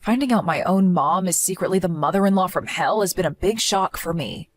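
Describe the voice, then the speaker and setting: A woman's voice: fairly low pitch, animated, fairly quick, no room sound. A woman as an earnest, protective sister-in-law. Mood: stern. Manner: earnest, reassuring.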